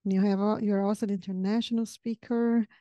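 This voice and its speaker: A woman's voice: mid-range voice, flat delivery, fairly quick, mellow, no room sound, highs cut off. A middle-aged woman from southern Brazil with a Brazilian accent, hosting a conversational interview close on a podcast microphone. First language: Portuguese. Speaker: podcast host. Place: home study with bookshelves, video call. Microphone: podcast microphone on boom arm, close.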